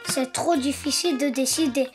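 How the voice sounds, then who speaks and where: An actor voicing a cheerful, playful little boy donkey in a preschool cartoon, lively and childlike, with music behind. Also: sits high, moderate pace, natural voice. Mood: earnest.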